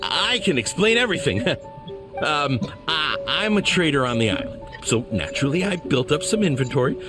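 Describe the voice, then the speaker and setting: A stammering, jittery merchant. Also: male voice, on the high side, big pitch swings, normal speed, over music. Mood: flustered. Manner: stammering, flustered. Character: nervous male merchant accused of a crime.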